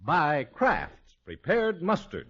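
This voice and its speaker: A man's voice: fairly high pitch, wildly swooping pitch, slow. An American man reading a scripted 1950s radio commercial. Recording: dull vintage radio recording.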